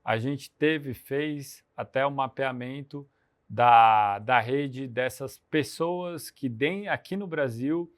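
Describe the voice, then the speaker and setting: A man hosting a business podcast in a lively conversational tone. His voice is of middling pitch for a man. He leads the talk with open questions and quick asides. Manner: energetic, conversational, leading questions. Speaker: podcast host. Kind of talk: podcast interview.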